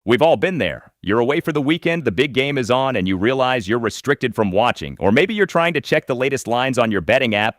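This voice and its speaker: A man's voice: fairly low pitch, fairly bright tone, no room sound, studio quality. A man hosting a podcast, moving between commentary and sponsor reads. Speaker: podcast host.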